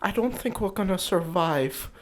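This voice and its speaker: A man's voice: high voice, animated, moderate pace, poor audio. A man voicing a timid, nervous halfling with a hesitant, stammering delivery.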